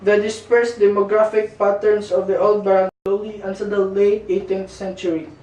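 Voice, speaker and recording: A man's voice: high-pitched, flat delivery, moderate pace, darkish tone, natural voice. A man with a Filipino accent playing a fatherly lecturer in an amateur puppet show, reading history aloud from a text. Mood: explaining. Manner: reciting from a text. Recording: echoey room, distant microphone.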